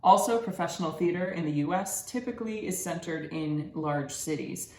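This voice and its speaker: A man in his early twenties giving a scripted research presentation as a student presenter. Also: fairly high pitch. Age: early 20s. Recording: roomy echo with background noise.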